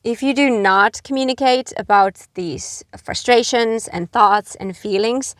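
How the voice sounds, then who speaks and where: A middle-aged woman from Finland giving earnest, gentle advice to camera, with frequent fillers like "you know". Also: on the high side, unhurried, no echo, studio quality. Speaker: expat vlogger.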